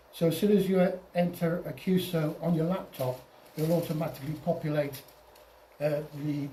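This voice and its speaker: Mellow man presenting, distant in a roomy space. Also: a little high. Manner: halting, repeats and restarts phrases. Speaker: presenter at an amateur radio club. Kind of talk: informal software tutorial over slides. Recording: roomy, distant mic, faint noise.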